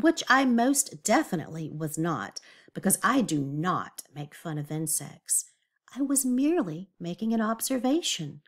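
A woman reads a children's story aloud as a teacher would. Her pace is slow and deliberate, and her pitch moves up and down in a lively way for emphasis. She speaks directly to young listeners with explanations and questions.

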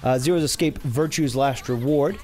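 A man with a low voice hosting a casual game playthrough, chatty and rambling, correcting himself as he goes. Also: normal speed, darkish tone, soft background music. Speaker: gaming channel host. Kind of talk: casual let's-play commentary.

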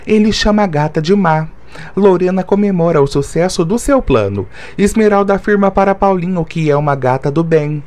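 High-voiced man reading a recap. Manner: flat, even reading of short summary sentences. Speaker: recap narrator. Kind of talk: scripted plot summary narration.

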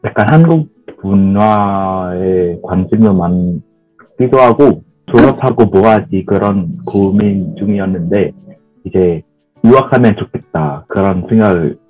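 A young man, native Vietnamese speaker, answering on a video call through a headset in a quick, casual way. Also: low voice, highs cut off, faint music behind. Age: young adult. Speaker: interviewee, student.

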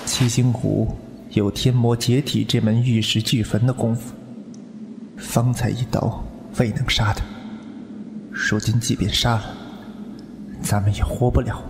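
A man's voice: mid-range voice, little pitch movement, measured pace, gravelly, pauses often, background noise. A man playing a cold, calculating young swordsman in a Chinese wuxia radio drama, terse and matter-of-fact. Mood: cold, composed. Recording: echoey, loud music underneath.

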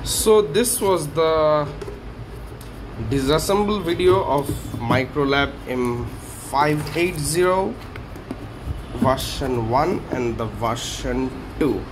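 A man hosting a hands-on tech teardown, speaking in short phrases with halting pauses. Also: on the high side, noisy background. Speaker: tech video host. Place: small indoor workshop room.

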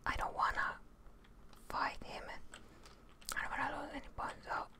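A young woman giving whispered, breathy ASMR gameplay commentary up close to a studio microphone. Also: normal speed, sharp tone. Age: young adult. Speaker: gaming streamer. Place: indoors at a desk, close up. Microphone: large studio microphone up close, headset worn.

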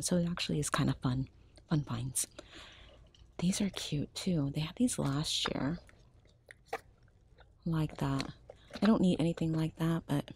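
Low, mellow-voiced older woman vlogging. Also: slightly rough. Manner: chatty, often drops to a whisper. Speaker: shopping vlogger. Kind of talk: casual shop-with-me narration. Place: large discount store aisle. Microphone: handheld phone camera.

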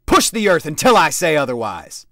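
A high, blaring drill sergeant bellows commands in a dry, close sound, as a narrator puts him on. He is harsh and commanding, every order a roar. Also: lively intonation, fast talker, dry recording, close to an everyday voice. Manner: barking, roaring orders.

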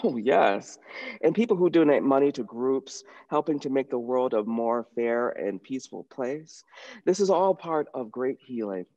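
Dark-toned middle-aged man, slightly muffled. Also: mid-range voice, lively intonation, normal speed. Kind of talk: conversational address to a congregation.

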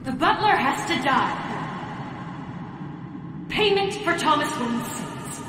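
An actress playing a vengeful, fanatical masked villain in a video game, theatrical, mocking and full of menace. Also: female voice, high voice, animated, takes it slowly, noisy background. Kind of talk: dramatic video game acting. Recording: echoing stone tunnels, distant, music behind.

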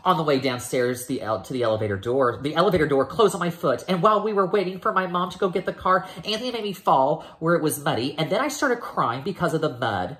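An American man in his thirties reading a children's picture book aloud in the first person, dramatically, with drawn-out emphasis. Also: fairly high pitch, expressive, a bit bright. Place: indoors, a room at home.

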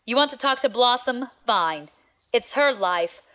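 A woman's voice: a little high, animated, a little slow, fairly bright tone. A woman playing a haughty, menacing young sister in an audio drama, scornful and theatrical. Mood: cold, threatening.

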